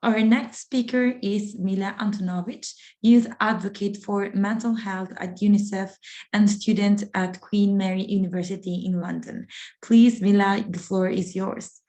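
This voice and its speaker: A woman's voice: medium pitch, steady tone, measured pace, warm tone. A woman acting as moderator, formally thanking and introducing speakers at a forum.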